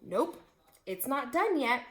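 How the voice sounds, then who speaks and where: Give this woman's take on a menacing storybook tiger a smug, mock-polite taunt. The delivery is slow and swoops up and down, hungry and sly. It sounds recorded in an echoey room. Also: high-pitched, big pitch swings, voice pushed up, full character voice. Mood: gullible, curious. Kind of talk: children's storybook read-aloud. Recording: echoey room with faint noise.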